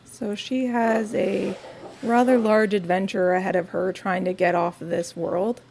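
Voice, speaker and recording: A woman with a raspy, gravelly voice talks softly and at an unhurried pace in a quiet home studio vlog, dropping wry little asides. A faint hiss of background noise sits under her voice. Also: medium pitch, level tone, rough recording. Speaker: crafting vlogger.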